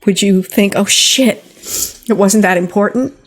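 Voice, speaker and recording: A woman's voice: mid-range voice, a little slow. Older woman telling a personal story casually. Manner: conversational, talks directly to listeners. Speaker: podcast host.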